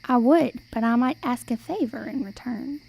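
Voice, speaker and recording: Young woman playing a high-pitched, folksy old storyteller woman. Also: moderate pace, creaky, dark tone, slightly rough. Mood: coaxing. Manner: folksy, old-fashioned phrasing. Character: small, old, hunched travelling storyteller woman, folksy and sly. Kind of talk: amateur radio play acting.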